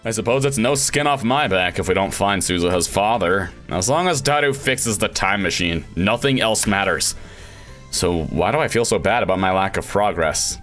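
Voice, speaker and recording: A low-pitched, brooding young man of science as a man acts him out in a visual novel. He talks in dramatic, introspective monologue, full of self-doubt and a quick temper. Faint music plays behind him. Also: a bit bright. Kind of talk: visual novel narration read aloud.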